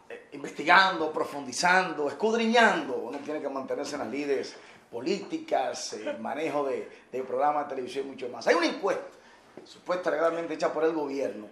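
Entrevistador dominicano efusivo, sala con eco. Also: male voice, fairly high pitch, expressive, a bit bright. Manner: effusive, teasing, quick interjections. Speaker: radio and TV host interviewer. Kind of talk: lively interview. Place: bright living room, indoors. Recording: echoey room with faint noise.

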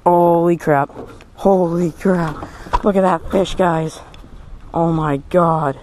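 An excited young man commentates his fishing trip from a small boat on a lake, exclaiming over his catch. His voice sits slightly high yet stays flat and even in melody. A head-mounted camera picks him up outdoors with a faint background hiss. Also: slow pace. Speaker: fishing vlogger. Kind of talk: casual commentary while fishing. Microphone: head-mounted action camera.

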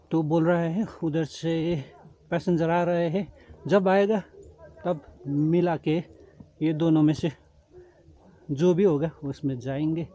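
A man in his forties narrates a travel vlog casually as he treks, with a high, mellow voice. He speaks slowly and drops English words into his talk. It is recorded outdoors on a handheld camera, with slightly dull sound. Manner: mixes English words and phrases into speech. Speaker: travel vlogger. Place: outdoors on mountain roads. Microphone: camera held at arm's length.

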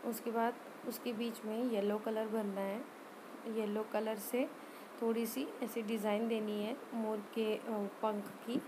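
A woman giving an informal step-by-step craft tutorial voice-over, hesitant and often pausing mid-sentence. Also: a little high, flat delivery, background noise. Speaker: rangoli art tutorial presenter.

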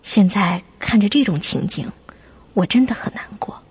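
A woman playing a sensible, caring young flatmate who narrates and acts in a radio drama, with a husky, breathy voice. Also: mid-range voice, normal speed, whispered, highs cut off. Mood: uneasy narration.

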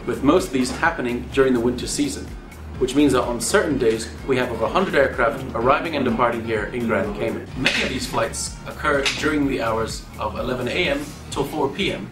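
A young man who works as an air traffic controller, explaining his job in an interview. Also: mid-range voice. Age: young adult. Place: small office with large windows, indoors. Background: background music.

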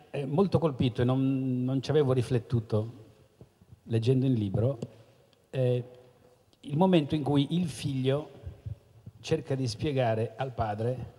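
A man's voice: mid-range voice, measured pace, dark tone. A middle-aged man, an author on a panel, speaking in a thoughtful, hesitant, self-ironic way with a handheld mic on stage. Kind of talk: casual panel talk. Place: stage in a large hall. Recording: long hall reverb.

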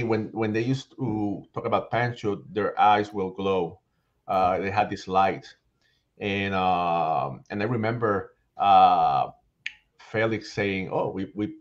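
A man, somewhat low-voiced, speaking in an animated way with lots of fillers and self-corrections. His voice comes through a headset on a video call. The sound is a bit dull and lo-fi. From Puerto Rico. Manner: animated, frequent fillers and restarts. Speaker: guest author and baseball writer. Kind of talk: casual video call interview. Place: home room. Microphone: headset microphone on video call. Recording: slightly dull, lo-fi call audio.

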